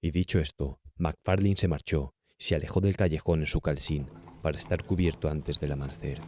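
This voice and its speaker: A man's voice: very deep voice, steady tone, fairly quick, a little mellow, no room sound. A man with a Castilian Spanish accent reading a horror audiobook as a dramatic narrator.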